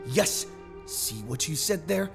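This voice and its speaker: Man acting an earnest, exasperated son, lively pitch. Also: slow, creaky, heavily acted. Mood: frustrated, pleading. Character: frustrated, earnest grown son pleading with father. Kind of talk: animated comic fandub acting. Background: music.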